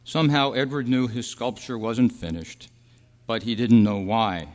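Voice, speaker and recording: Male, earnest measured radio-play reader a man acting, medium pitch, big room reverb. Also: lightly acted. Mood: wistful, earnest. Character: Roy, dead thirty-three-year-old man's wistful, peaceful spirit. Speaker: actor and announcer. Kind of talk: staged radio drama reading. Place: live club meeting in a hall. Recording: echoey hall with long reverb.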